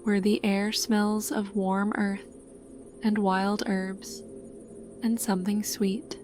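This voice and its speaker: A woman narrates a scripted sleep meditation, calm and soothing. Her delivery is very slow and unhurried, and her pitch stays flat at a medium height. Music plays clearly behind her voice.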